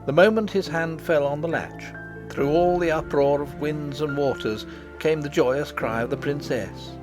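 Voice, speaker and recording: A man narrating an audiobook in an expressive, dramatic storytelling style. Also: on the high side, normal speed. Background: background music.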